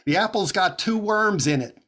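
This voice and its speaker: An actor playing a fussy, stingy, self-justifying boss in a 1940s radio comedy. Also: male voice, sits high, lively intonation, normal speed, bright, forceful tone, full character voice. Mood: fussy, defensive. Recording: noticeable background noise.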